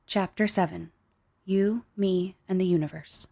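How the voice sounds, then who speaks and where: A woman's voice: lowish voice, fairly even intonation, a little slow, gravelly. A woman reading scripted title announcements for a radio play. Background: loud music.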